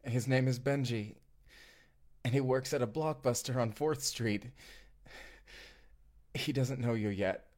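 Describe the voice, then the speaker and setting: A man's voice: mid-range voice, unhurried, long pauses, darkish tone, lightly acted. A man acting as a devoted, sweetly awkward young romantic in an immersive audio roleplay, speaking in an earnest, hesitant, conversational way. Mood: dying, tender.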